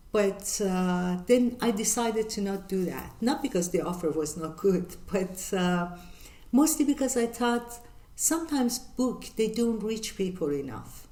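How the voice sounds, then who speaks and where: An older woman from northern Iran with a Persian accent, hosting her channel and answering viewer questions in an earnest, measured way with many pauses. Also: mid-range voice, mellow, echoey room. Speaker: channel host. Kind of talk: reflective Q&A monologue.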